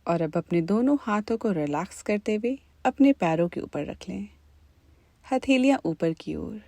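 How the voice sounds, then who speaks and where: A woman leading a guided meditation as an instructor, in a calm, gentle, unhurried manner. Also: medium pitch, creaky, no room sound.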